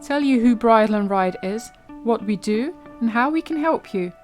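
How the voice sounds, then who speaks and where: A woman's voice: fairly high pitch, animated, moderate pace, loud music behind the voice. A woman hosting a podcast, talking casually and at ease, with frequent ums and loose restarts. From England. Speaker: podcast host. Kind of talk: casual solo podcast monologue.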